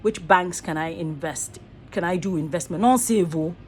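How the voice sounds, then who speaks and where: A woman's voice: on the low side, moderate pace, a little mellow, slight background noise. A middle-aged Nigerian woman, an actor and voice artist, musing in a rambling, earnest selfie vlog from a small room, often stopping to rephrase herself. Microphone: phone at arm's length.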